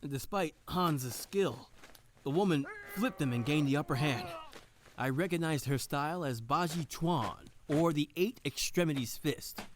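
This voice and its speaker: A woman playing a soft-boiled detective, narrating the case in measured, descriptive first person for an audio drama. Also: low voice, slight background noise. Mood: tense storytelling.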